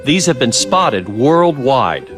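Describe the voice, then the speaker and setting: An actor playing a genial, sly middle-aged scientist in a video game, talking in a smooth, professorial, theatrical way. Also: male voice, medium pitch, sing-song, takes it slowly, music in the background. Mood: lecturing, intrigued. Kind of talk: video game cutscene acting, expository dialogue.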